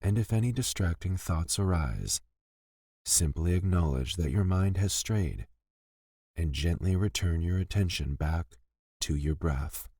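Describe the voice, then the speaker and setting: Male meditation guide, low-pitched, gentle and unhurried delivery of scripted lines, very dry close sound. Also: husky, no echo. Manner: gentle, soothing, deliberate with pauses between phrases.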